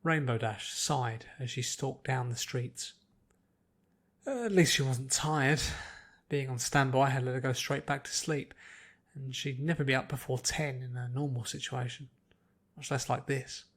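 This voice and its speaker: A man reading a story aloud as an expressive scripted narration. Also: mid-range voice, measured pace. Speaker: podfic narrator.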